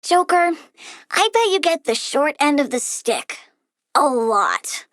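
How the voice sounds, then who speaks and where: A woman's voice: sits high, sing-song, takes it slowly, fairly bright tone, no echo, studio quality, exaggerated voice. A woman playing a childlike, bratty young plant-girl villain in a video game, perky, blunt and gleefully bloodthirsty. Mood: bratty, taunting. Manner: petulant, perky, blunt, sassy. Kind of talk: video game character voice lines.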